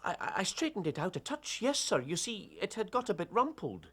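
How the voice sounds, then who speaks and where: Sheepish constable for period drama: husky, humble, excuse-making, animated pitch. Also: male voice, a little fast, heavily acted. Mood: nervous. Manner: deferential, folksy, excuse-making. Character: sheepish, deferential male police constable. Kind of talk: period detective drama acting.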